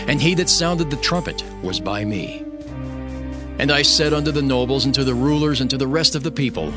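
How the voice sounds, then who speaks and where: Male scripture narrator: solemn, declamatory, expressive mid-range voice over music. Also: medium pitch. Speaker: narrator reading as Nehemiah.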